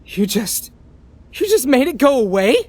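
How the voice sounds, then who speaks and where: A man playing an uneasy, plain-spoken villager in a fantasy audio drama, speaking in a hesitant, halting way. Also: very high voice, very animated, background noise, exaggerated voice. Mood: astonished.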